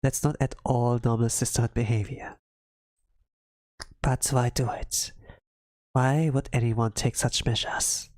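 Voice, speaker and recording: A streamer voicing a thoughtful, curious young female researcher who muses deliberately and is quietly determined. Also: medium pitch, steady tone, no echo, natural voice. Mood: intrigued. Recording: background music under the voice.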